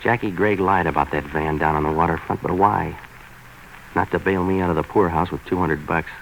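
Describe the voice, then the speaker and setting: A low, deep hardboiled man of 1940s radio noir. He is sardonic and clipped, tossing off wisecracks deadpan. Faint noise from the vintage broadcast sits around him. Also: brisk, creaky. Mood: brooding narration. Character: hardboiled, sardonic male waterfront troubleshooter and narrator. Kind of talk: 1940s radio noir acting and first-person narration. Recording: noisy 1940s radio recording.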